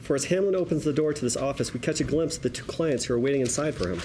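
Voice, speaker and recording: A man's voice: mid-range voice, rapid-fire, mellow, a little hiss or hum. A man reading screenplay stage directions aloud as narrator, in a wry, colloquial storytelling manner. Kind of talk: scripted screenplay narration, present tense.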